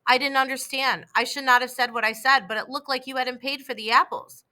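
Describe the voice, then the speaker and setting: A woman's voice: high-pitched, fairly even intonation, a little fast, pushed and bright, pitched above the performer's own voice. A woman reading the lines of an earnest schoolboy in a children's storybook. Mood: apologetic. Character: earnest, suspicious, later sorry schoolboy.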